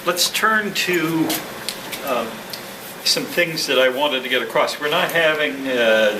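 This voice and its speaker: A man speaking as a club president, giving a prepared address to a meeting in a halting, measured, formal way. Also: medium pitch. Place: indoor meeting room with ventilation hum. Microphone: distant room microphone. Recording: distant mic, echoey room, clear background noise.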